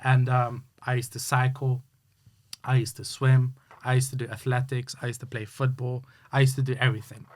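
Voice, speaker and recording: A man talks through his story as an interview guest. His voice sits at an ordinary middle pitch. He speaks earnestly and halts often, with plenty of fillers and restarts. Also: level tone, normal speed. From Hungary. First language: Hungarian. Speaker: guest, youth sports coach. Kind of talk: casual interview chat.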